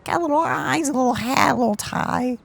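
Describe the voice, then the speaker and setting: A woman co-hosting a let's play, reacting to the game with quick, playful remarks. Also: sits high, gravelly, slight background noise. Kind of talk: casual gameplay commentary.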